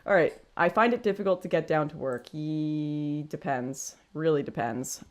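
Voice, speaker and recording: Woman, 20s, deep voice, candid and self-deprecating, casual livestream host. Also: moderate pace. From Canada. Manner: chatty, frank, self-deprecating, thinks aloud with restarts. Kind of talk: casual livestream chat reading super chats.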